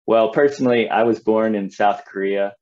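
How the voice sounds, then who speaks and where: Man, 30s, low voice; earnest, measured panel remarks over slightly dull video-call audio. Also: a little mellow. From southern New Jersey. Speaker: nonprofit CEO, panelist. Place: home room on a video call.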